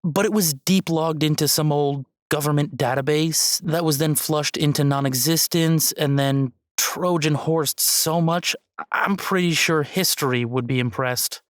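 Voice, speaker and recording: A young woman acting in an audio drama as a gentle, tech-savvy student friend with a tentative, conciliatory manner. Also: deep voice, unhurried, few pauses, dry recording, studio quality. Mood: hesitant.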